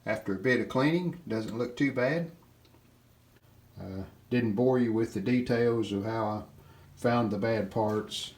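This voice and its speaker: An older man giving a hands-on repair walkthrough in plain-spoken, halting phrases. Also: on the low side, darkish tone, slight background noise.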